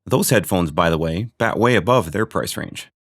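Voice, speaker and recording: A man's voice: deep voice, lively intonation, normal speed, a bit bright. A man hosting a gear review video, narrating from a script with dry, joking asides.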